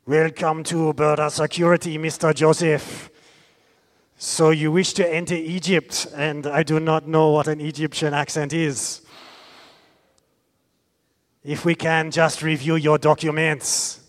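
A man doing a loud suspicious border guard. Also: a little high, level tone, a little slow, voice pushed up, close to an everyday voice. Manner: interrogating, mocking, acquisitive.

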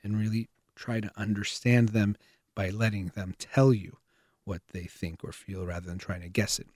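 A middle-aged man hosting a call-in psychology radio show, explaining things in a calm, measured way. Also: fairly low pitch, no echo, clean studio sound. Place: radio studio.

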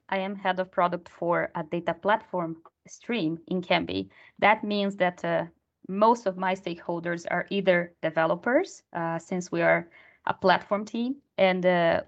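A woman's voice: fairly low pitch, vocal fry. A woman, a podcast guest explaining her product work plainly. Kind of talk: casual panel chat. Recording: dull lo-fi call audio.

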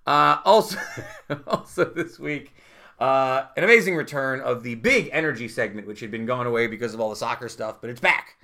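A man's voice: mid-range voice, expressive, moderate pace, vocal fry, pushed and bright. A man hosting a podcast in casual chat, talking loosely with lots of fillers and laughs, his voice worn from talking all day. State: losing his voice. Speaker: podcast host.

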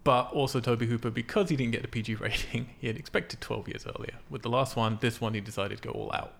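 A man's voice: mid-range voice, fairly even intonation, fast, vocal fry, fairly bright tone. A man hosting a casual film podcast, explaining things in an informal, sweary way. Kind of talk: casual film discussion from notes.

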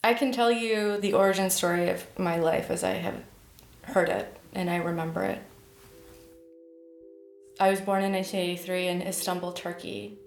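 Woman, 30s, poet; low, gravelly voice, reflective and halting, roomy sound. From United States. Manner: thoughtful, hesitant, with many restarts. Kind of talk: reflective personal interview.

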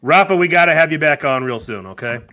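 Bright-voiced chatty man in his forties. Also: a little high, fast talker. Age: 40s. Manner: relaxed, chatty, many fillers, laughs easily. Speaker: podcast host. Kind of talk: casual podcast sign-off.

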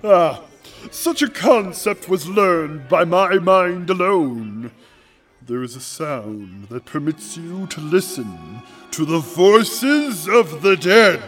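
An actor playing a pompous charlatan seer in an audio drama, with a theatrical, grandiose manner. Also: male voice, on the high side, very animated, takes it slowly, a bit bright, soft background music, heavily acted. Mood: ominous, showy. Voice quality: put-on showy weird voice. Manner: theatrical, grandiose, stammers when flustered.

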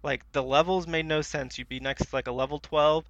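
A man's voice: a little high, fairly even intonation, brisk, fairly bright tone, dry recording. A man co-hosting a podcast in loose, conversational chat, on a slightly dull close recording. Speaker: podcast co-host. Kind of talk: casual chat about video games.

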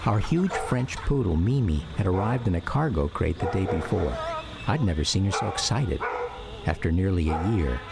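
An actor playing a grown son recalling his childhood, narrating a radio drama in a measured, reflective way. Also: low voice, lively intonation, gravelly, over loud music. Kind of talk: scripted first-person radio drama narration. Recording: noisy outdoor ambience with insects behind.

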